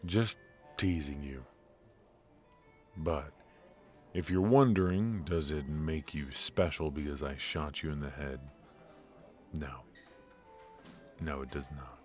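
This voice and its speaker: A man acting a charismatic, world-weary mafia infiltrator in an intimate ASMR roleplay, speaking with wry teasing and hesitant pauses. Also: a bass voice, darkish tone, faint music behind. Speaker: voice actor in audio roleplay. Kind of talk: intimate ASMR roleplay, one-sided conversation.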